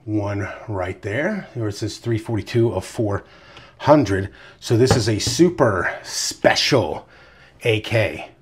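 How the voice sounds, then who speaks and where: A man's voice: lowish voice, expressive, takes it slowly, slight background noise. A man with a congested voice from a cold, hosting his channel and chatting loosely through a product review. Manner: loose and chatty, with fillers and asides. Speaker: firearms reviewer, channel host.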